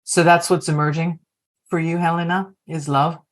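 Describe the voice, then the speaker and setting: A woman's voice: low-pitched, flat delivery, moderate pace. A woman coaching leaders on a video call, reflectively posing open questions to the group. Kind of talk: workshop facilitation.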